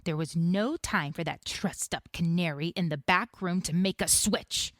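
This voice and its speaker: A woman's voice: on the low side, normal speed, fairly bright tone, no room sound, clean studio sound, lightly acted. A woman voicing a glamorous, dangerous villainess in an audio drama, haughty and taunting. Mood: guarded. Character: glamorous femme fatale villainess, imperious, mocking, dangerous.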